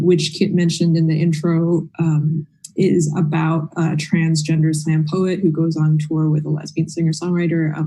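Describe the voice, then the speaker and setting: Give me a woman from Boston who writes musicals, with a low, warm, mellow voice, and let her introduce herself in a friendly, casual way with lots of fillers. She is speaking on an online panel. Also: low-quality recording. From Boston, USA.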